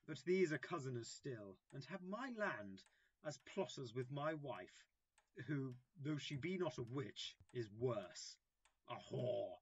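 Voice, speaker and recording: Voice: medium pitch, expressive, slow, husky, lo-fi sound, exaggerated voice. An amateur actor playing a foolish, bitter, petulant husband, blunt and declaiming, in an early modern comedy audio drama.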